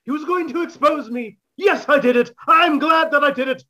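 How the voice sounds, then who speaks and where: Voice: high-pitched, moderate pace, pushed and bright. An actor playing a defensive, melodramatic murder suspect, theatrical and indignant, in an old-time radio mystery parody. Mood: defiant confession. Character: defensive, melodramatic party guest, secretly a murderer.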